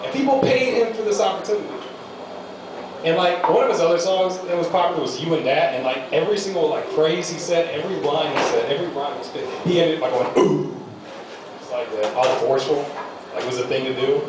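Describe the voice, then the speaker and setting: A young American man talks his way through a stand-up comedy set in a small venue, loose and rambling. He sounds far from the mic, and the room echoes heavily. There is a steady hum of room noise behind him. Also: a little high, fairly quick. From United States. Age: young adult. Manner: loose rambling delivery with fillers. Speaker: amateur stand-up comic.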